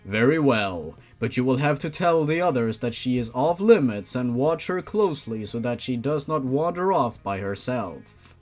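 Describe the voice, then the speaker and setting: A man voicing a stern, protective supernatural father in a read-aloud story, formal and measured. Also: mid-range voice, expressive, darkish tone, faint music behind, pitched below the performer's own voice, close to an everyday voice. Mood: stern, instructing. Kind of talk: story reading in character.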